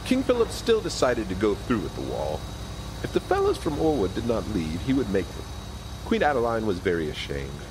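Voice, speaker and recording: A man's voice: on the low side, huge pitch swings, moderate pace, noisy background. A man reading scripted narration and credits as the narrator of an audio story.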